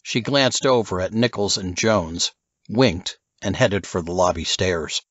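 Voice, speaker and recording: A man's voice: sits low, unhurried, fairly bright tone, no echo, muffled recording. A man narrating scripted fiction as an audiobook reader.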